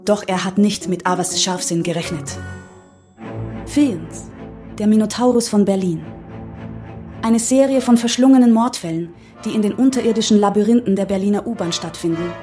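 A woman's voice: medium pitch, moderate pace, dark tone, faint music behind. A woman reading scripted crime-story material in a dramatic narration style.

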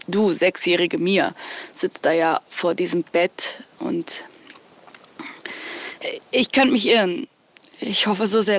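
A woman playing a curious, concerned friend in an audio drama, talking casually and hesitantly, sometimes near a whisper. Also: mid-range voice. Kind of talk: naturalistic audio drama dialogue.

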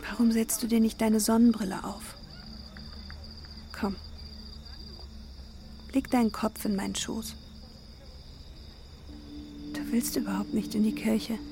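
High-voiced middle-aged wife, matter-of-fact. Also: female voice, flat delivery, takes it slowly, pauses often, mellow, slight background noise. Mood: coaxing. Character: caring middle-aged wife reading aloud from a travel guide. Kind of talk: radio drama acting.

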